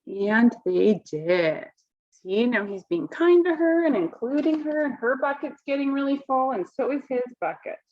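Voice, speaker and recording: Animated, high-voiced woman reading to children. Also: measured pace, highs cut off. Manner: expressive, simple, explanatory. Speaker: children's picture book reader. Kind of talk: read-aloud storytelling with simple explanations for children.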